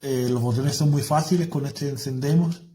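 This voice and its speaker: A man gives an informal product demonstration in a dark, relaxed voice of medium pitch. Faint noise and clicks sit behind his speech. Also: a little fast. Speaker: product reviewer.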